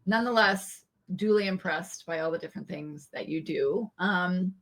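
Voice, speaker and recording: A woman's voice: fairly low pitch, normal speed. A woman hosting a podcast, chatting casually with a guest and full of fillers and restarts. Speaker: podcast host. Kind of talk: casual interview chat.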